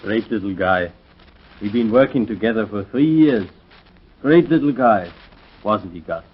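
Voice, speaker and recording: An actor playing a gentle, grieving giant who talks plainly and fondly, in 1940s radio drama style. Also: male voice, fairly low pitch, a little slow, slightly rough. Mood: mournful. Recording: muffled, distant 1940s radio recording.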